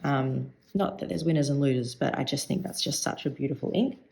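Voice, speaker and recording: A woman with a croaky voice today, thinking aloud in a chatty, rambling review while she swatches ink at her desk. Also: rumbling low, a little fast, darkish tone. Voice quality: vocal fry. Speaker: stationery vlogger.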